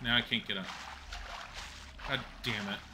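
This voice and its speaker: A man's voice: lowish voice, steady tone, unhurried, noisy background. A man in his forties, a streamer chatting casually and rambling while he plays and talks with viewers. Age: 40s. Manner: rambling, chatty, laughs at own mishaps.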